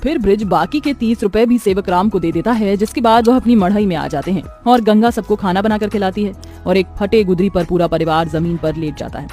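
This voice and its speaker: A woman's voice: mid-range voice, brisk, hardly any pauses, dark tone, soft background music. A woman narrating a scripted moral bedtime story as a dramatised storyteller.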